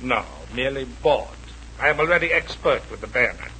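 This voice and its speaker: An actor playing a cruel, pompous Japanese army officer in a 1940s radio adventure serial, menacing and mockingly formal. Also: male voice, medium pitch, animated, fairly quick, pushed and bright. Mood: coolly menacing. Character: cruel, sneering Japanese army major, villain. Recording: noisy old radio broadcast.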